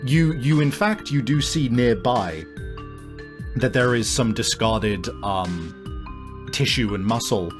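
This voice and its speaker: A man improvising narration as a tabletop game master, explaining things in a halting, wordy way. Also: mid-range voice, expressive, music in the background.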